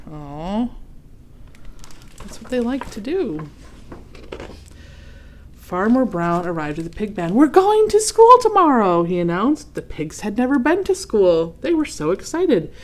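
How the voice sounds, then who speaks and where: A woman reading a children's storybook aloud expressively, pausing to ask her young listeners questions and add comments. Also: mid-range voice, warm tone. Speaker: read-aloud host.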